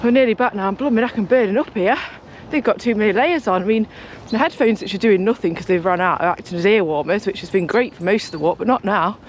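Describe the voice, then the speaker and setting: A young woman talks to a handheld camera, rambling in easy vlog chat. Her voice is slightly croaky, at a middle pitch, with a faint hiss of noise behind her. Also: fast talker, few pauses. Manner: chatty, rambling, talks a lot. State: croaky just-woken voice. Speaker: cycling vlogger. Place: home, then outdoors on a walk.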